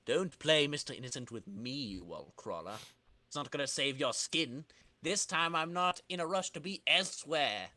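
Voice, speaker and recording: A man's voice: on the high side, lively intonation, normal speed, bright, forceful tone. A man voicing a boastful, vengeful skateboarding comic-book villain with swaggering, slangy tough talk. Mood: menacing.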